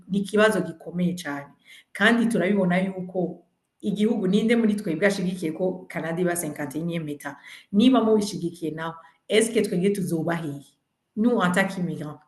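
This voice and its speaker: A woman's voice: lowish voice, reverberant. A woman political candidate from Burundi whose first language is French, earnestly answering questions in a virtual community meeting. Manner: earnest, mixing languages, persuasive. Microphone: video call.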